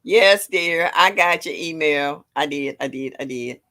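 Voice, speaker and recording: A woman in her sixties from with a deep, low voice, chatting casually on a livestream, greeting viewers and laughing often. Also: unhurried, fairly bright tone. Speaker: livestream host. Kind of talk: casual chat reading viewer comments.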